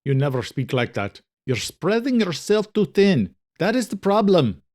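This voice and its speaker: An audacious woman housekeeper in an audio thriller, played by a male narrator, with a raised pitch. She is loyal but pointed, scolding her employer with open disapproval. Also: very animated, moderate pace, darkish tone. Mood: worried scolding.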